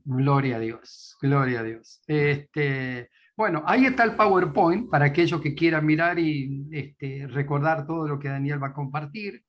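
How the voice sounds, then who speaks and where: A man's voice: on the high side, a little slow, dull audio. A man with an Argentine Spanish accent introducing a guest at a church meeting, hesitant, with many fillers. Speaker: meeting host.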